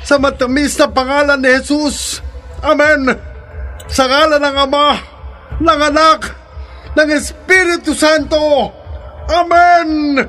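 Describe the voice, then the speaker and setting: An actor playing a devout priest in a horror radio drama, pleading and commanding in solemn, fervent prayer. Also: male voice, very high voice, level tone, slow, pauses often, bright, forceful tone, faint music behind, heavily acted.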